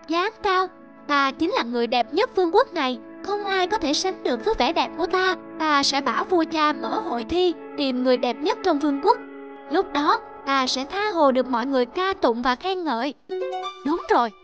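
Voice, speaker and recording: A woman's voice: sits high, fairly bright tone. A woman voicing a vain, haughty young princess in a fairy-tale cartoon, self-admiring and imperious.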